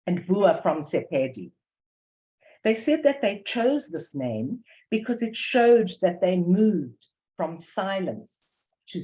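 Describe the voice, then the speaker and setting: A woman's voice: on the low side, dark tone. An older woman with a South African English accent giving an academic lecture, reading carefully from a prepared text and reading poems aloud. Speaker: academic presenter. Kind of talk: lecture presentation with poetry reading. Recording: dull lo-fi recording.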